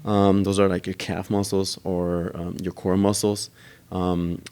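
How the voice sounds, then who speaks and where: A man talks in a very low voice as a podcast guest. He explains how his gym works at length, pausing often with ums and uhs as he goes. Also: little pitch movement, darkish tone, smooth. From Germany. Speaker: gym owner and trainer. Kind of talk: podcast interview answers.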